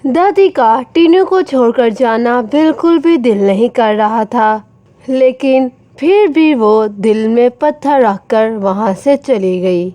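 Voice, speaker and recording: A woman's voice: a little high, slow pace, warm tone, no room sound. A woman narrating a scripted children's story.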